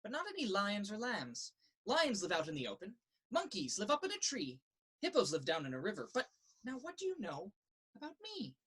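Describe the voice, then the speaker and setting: A woman reads a children's picture book aloud. Her pitch moves in a lively, expressive way through a sing-song rhythm. The voice has a husky, slightly rough edge. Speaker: story reader. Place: indoors in a children's library.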